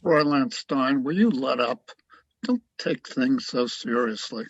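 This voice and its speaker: A man playing a tipsy, cynical army doctor in a radio play, blunt and weary, with a gravelly, husky voice. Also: mid-range voice, measured pace, no echo, highs cut off. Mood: dismissive, irritated. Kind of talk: dramatic radio play acting.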